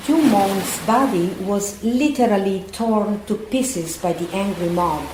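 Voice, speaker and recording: An actress playing a sombre woman in an audio drama, delivering a grave, deliberate monologue. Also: mid-range voice, darkish tone. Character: sombre woman recounting a mob killing. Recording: echoey room with faint background noise.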